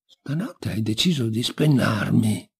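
A man doing a gruff, slow-spoken fairy-tale ogre. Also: lowish voice, lively intonation, exaggerated voice. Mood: annoyed. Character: gruff, grumpy, knowing male ogre, a sleepy husband.

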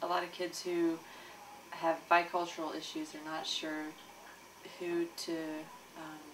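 A woman on the school staff speaking in a measured, hesitant way in a documentary interview. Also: deep voice, flat delivery. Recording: distant mic with background noise.

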